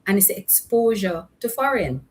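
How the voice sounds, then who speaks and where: A woman's voice: medium pitch, sing-song, moderate pace, crowd noise. A woman hosting an interview, affirming her guests often. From Trinidad. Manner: affirming, frequent mm-hmms. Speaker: host.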